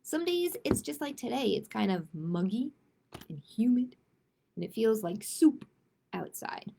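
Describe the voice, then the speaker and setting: A woman's voice: a little high, sing-song, unhurried, gravelly, mellow, slightly rough. A woman hosting a children's storytime, reading picture books aloud expressively and adding simple chatty asides with little check-in questions. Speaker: library storytime host.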